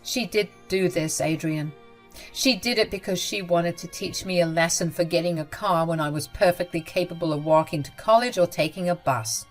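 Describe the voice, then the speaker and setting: A woman voicing an angry, self-pitying mother-in-law who talks in a shrill, accusing way. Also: moderate pace, soft background music, lightly acted. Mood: defensive.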